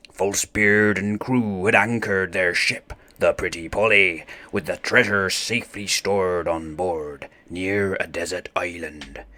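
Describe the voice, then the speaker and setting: A man narrating a children's story in a theatrical, expressive read-aloud style. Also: deep voice.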